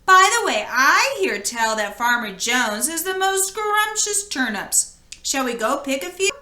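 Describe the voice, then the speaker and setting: A woman's voice: high-pitched, sing-song, pushed and bright, roomy sound, exaggerated voice. A woman voicing a lean, hungry male storybook wolf, sly and menacing, coaxing and drawing out threats. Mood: sly, falsely friendly. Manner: drawn-out huffing threats, coaxing.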